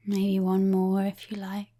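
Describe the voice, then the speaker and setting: A woman leading a guided relaxation, speaking softly and breathily, often near a whisper, in a calm, soothing way with long pauses. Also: medium pitch, gravelly.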